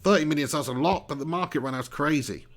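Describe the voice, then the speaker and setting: A man's voice: on the high side, fast talker. Chatty man streaming a match. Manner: chatty, laughing, spiking loud for match action. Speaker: host of a football watch-along stream. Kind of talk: live match commentary and chat reading.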